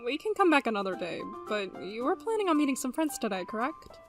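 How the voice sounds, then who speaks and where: A woman voicing a doting, sardonic shapeshifting creature mother in an animated cartoon. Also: sits high, quick pace, over music. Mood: teasing, warm.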